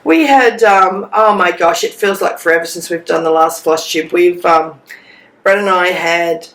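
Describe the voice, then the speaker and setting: An Australian woman with a cold, croaky and sleep-deprived, chatting and rambling as she co-hosts a stitching vlog from a hotel room. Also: fairly low pitch, measured pace, echoey room, rough recording. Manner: chatty, rambling, warm asides. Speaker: co-host. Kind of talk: casual chat.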